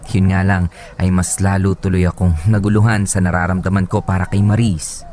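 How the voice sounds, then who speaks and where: A man's voice: low voice, level tone, moderate pace, a little mellow. A man with a Filipino accent narrating a scripted first-person story for a radio drama.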